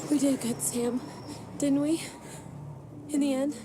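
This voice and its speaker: A woman's voice: high-pitched, fairly even intonation, slow pace, heavy background noise. A woman playing a spy's anxious, tender accomplice in a video game, hesitant and emotional. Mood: tender sorrow. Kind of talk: dramatic video game acting. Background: loud music.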